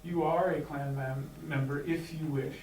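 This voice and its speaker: A man's voice: fairly high pitch, fairly even intonation, measured pace, a little mellow. A man from the United States speaking from the floor at a meeting, hesitant, with many fillers and restarts. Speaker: clan member speaking from the floor. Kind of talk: unscripted remarks at a meeting. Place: meeting room. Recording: echoey hall, distant mic, faint hiss.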